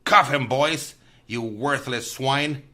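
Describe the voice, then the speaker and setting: Tough radio lawman played by a man: hard bright edge, mid pitch, scornful and commanding. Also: takes it slowly. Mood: harsh commanding. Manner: commanding, contemptuous. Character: tough, scornful lawman barking orders at criminals. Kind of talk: radio mystery acting.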